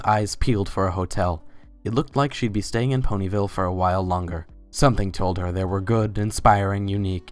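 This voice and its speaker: A man giving a dramatic, scripted reading of a story as its narrator. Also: deep voice.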